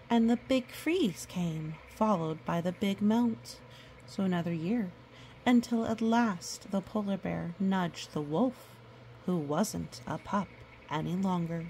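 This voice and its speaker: A woman reading a picture book aloud to children, gentle and nurturing, with chatty asides. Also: mid-range voice, creaky, a little hiss or hum, rough recording.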